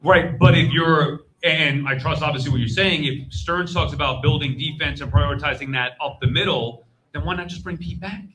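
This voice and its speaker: A man's voice: fairly high pitch, normal speed, sharp tone, rough recording. A man hosting a live sports debate, opinionated and pushing his points with challenging questions. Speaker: panel host. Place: live convention panel stage.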